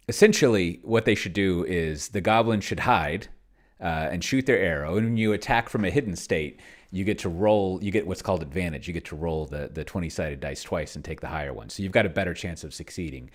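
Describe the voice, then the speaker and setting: A man giving casual screen-share commentary as he plays, musing aloud in a rambling way and trailing off into mutters. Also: sits low. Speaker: tabletop game player and video creator.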